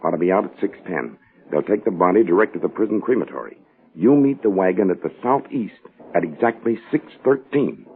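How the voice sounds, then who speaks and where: A man's voice: sits low, expressive, a little fast. A man playing a terse, exacting criminal schemer giving orders in a 1940s radio drama. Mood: tense, commanding. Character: commanding criminal schemer, brisk and exacting boss. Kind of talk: 1940s radio crime acting. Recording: muffled vintage 1940s radio recording.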